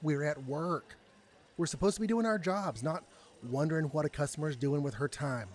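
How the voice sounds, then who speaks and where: A man plays an earnest young barista in an audio drama. His voice is a bit high, and he speaks in a halting, reluctant way, easily flustered. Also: a little hiss or hum, lightly acted. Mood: reluctant, exasperated. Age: young adult. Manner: halting, exasperated, conscientious.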